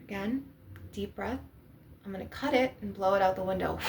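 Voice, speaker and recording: A woman with a creaky, fry-edged voice at a middle pitch leads an ASMR energy-healing session. She guides the listener instructively as a spiritual healer. The recording is noticeably noisy, with clicks and clatter. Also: fairly quick, darkish tone. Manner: instructive, guiding breathing and visualisation.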